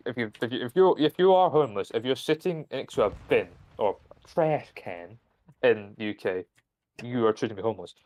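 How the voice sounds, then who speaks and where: A young Scottish man ranting on a casual live stream. He tells stories at length with plenty of swearing, at a pitch that is ordinary for a man. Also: fairly quick, poor audio. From Scotland. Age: young adult. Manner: ranting, profane, animated storytelling. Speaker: university student streamer.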